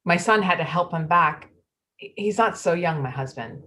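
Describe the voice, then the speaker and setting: An actress playing a wife who recalls her husband's near miss in the floodwater, speaking haltingly and repeating words. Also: lowish voice, expressive, pushed and bright.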